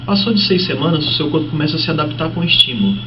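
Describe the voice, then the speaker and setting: A man's voice: on the high side, expressive, rapid-fire. A man with a Brazilian accent, speaking as a guest fitness trainer and explaining conversationally with examples. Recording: muffled, noisy, distant-sounding broadcast audio.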